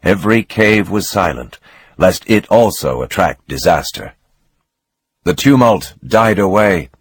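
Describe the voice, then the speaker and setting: Guy narrating a novel, husky and low, pitch swings a lot. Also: no room sound. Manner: measured, expressive storytelling. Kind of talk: dramatic reading of a novel.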